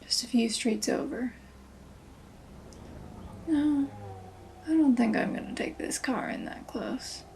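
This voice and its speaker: A high, creaky, half-whispered voice over clear background ambience. It is a woman performing a guarded, streetwise cyberpunk taxi driver in a soft-spoken ASMR roleplay, taking her time with each phrase. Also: slow pace, long pauses. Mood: casual, dismissive. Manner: hushed, breathy half-whisper. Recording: noticeable background ambience noise. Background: sci-fi city ambience.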